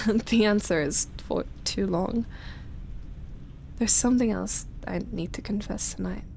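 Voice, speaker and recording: A woman playing a seductive, tender yet obsessive immortal vampire in an intimate ASMR roleplay, breathy and teasing, slipping into whispers. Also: mid-range voice, measured pace, a little hiss or hum. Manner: breathy teasing, soft sighs and chuckles, whispered phrases.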